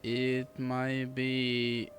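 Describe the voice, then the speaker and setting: A streamer giving casual, halting commentary while playing a game, reacting aloud to the dialogue. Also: medium pitch, monotone, faint music behind.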